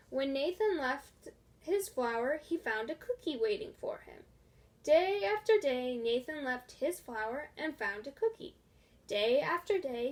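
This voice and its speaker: High-voiced woman reading slowly. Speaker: storybook reader. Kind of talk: scripted children's story narration.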